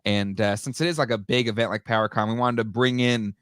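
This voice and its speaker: A man's voice: fairly low pitch, steady tone, a little fast, a bit bright, smooth, no room sound. Man, slightly nasal with a cold, chatty live-stream host, dry close sound. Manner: chatty, upbeat, many fillers and restarts. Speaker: co-host of a live toy show. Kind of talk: casual live-stream chat.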